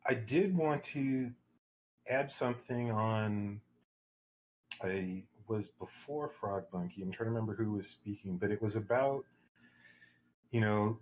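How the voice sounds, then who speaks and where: Low-voiced male panelist, discursive, full of fillers and restarts. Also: a little hiss or hum, low-quality recording. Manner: rambling, with many restarts and fillers. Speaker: workshop participant.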